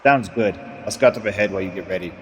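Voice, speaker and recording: A man's voice: low voice, fast talker, a bit bright, slight background noise. A man playing a practical dwarf scout. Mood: brisk. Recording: dull, reverberant sound.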